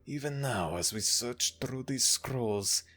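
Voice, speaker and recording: A man voicing a dutiful temple servant of a god in an audio roleplay, speaking in a hushed, reporting way. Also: medium pitch, lively intonation, slow, full character voice. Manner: hushed reporting, then breathless urgency.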